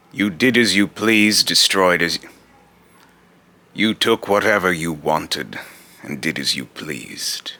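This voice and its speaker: Man acting as accusing male god, bottomless low pitch, slow deliberate pace, solemn, taunting, dramatic monologue. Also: bright, forceful tone, full character voice. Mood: scornful, accusing. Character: vengeful male god, grave, accusing, condescending judge of mortals. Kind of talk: amateur voice-acting monologue with retakes.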